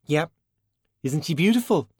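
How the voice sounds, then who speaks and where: A man's voice: a little high, expressive, measured pace, darkish tone, husky, dry recording, pitched above the performer's own voice. A male narrator performs a young woman in an audiobook. The delivery is informal and direct, with a breezy, casual friendliness. The sound is close and dry. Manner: informal, blunt. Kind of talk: audiobook character dialogue.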